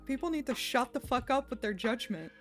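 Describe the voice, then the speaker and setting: A woman's voice: fairly high pitch, animated, a little fast, soft background music. A woman, chatting casually on a livestream while she works, laughing often and swearing offhand. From Netherlands. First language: Dutch. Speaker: streamer.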